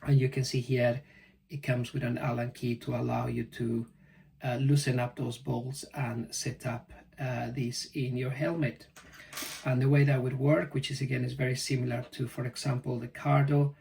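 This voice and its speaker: A man giving a casual, halting unboxing commentary as a product reviewer. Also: medium pitch, flat delivery, creaky, darkish tone. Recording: distant mic, faint background noise.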